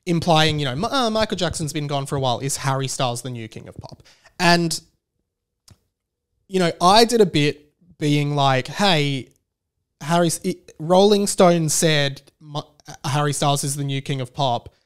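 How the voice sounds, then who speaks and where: A man's voice: fairly high pitch, expressive, moderate pace, clean tone. A man hosting a casual, comedic solo podcast, rambling bluntly and swearing freely. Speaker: podcast host.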